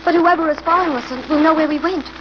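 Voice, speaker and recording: A woman's voice: high-pitched, fairly even intonation, quick pace, natural voice. An actress playing an anxious young woman in danger in a 1940s radio drama, with a nervous, pleading way of speaking. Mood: uneasy. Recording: muffled, noisy old radio recording.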